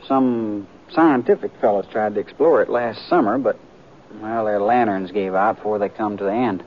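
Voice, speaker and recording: An actor playing an earnest, inexperienced cowboy companion in an old radio western, with plain rustic speech. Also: male voice, fairly low pitch, moderate pace, natural voice. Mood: helpful. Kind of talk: 1940s-50s radio western acting. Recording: muffled old radio recording, faint noise.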